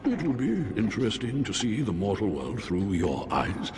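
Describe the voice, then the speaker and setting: A languid demon beast from a fantasy game, condescending and weary. The voice is low and swings dramatically, drenched in long reverb over soft music. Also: male voice, huge pitch swings, moderate pace. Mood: languidly threatening. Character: lazy, weary demon beast, a sloth spirit. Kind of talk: video game character acting.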